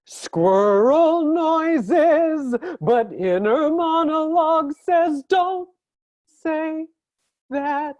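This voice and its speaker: A woman playing an opinionated squirrel sidekick in a staged reading, speaking tersely with expressive inflection. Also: high-pitched, extremely slow, no room sound. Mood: excited. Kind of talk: staged reading, animal noises spoken as words.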